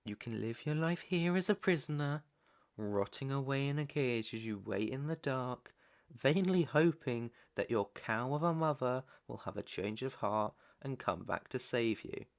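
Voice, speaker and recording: A British man playing a controlling, possessive young mafia heir in an ASMR roleplay, deliberate and commanding, with menace under the coaxing. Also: medium pitch, expressive, vocal fry. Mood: smug, coaxing. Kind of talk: ASMR roleplay, second-person dramatic acting.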